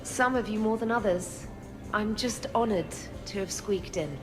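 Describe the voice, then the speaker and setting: A woman's voice: mid-range voice, a little slow, over music, lightly acted. A woman playing a chatty, modest young crew member in video game dialogue.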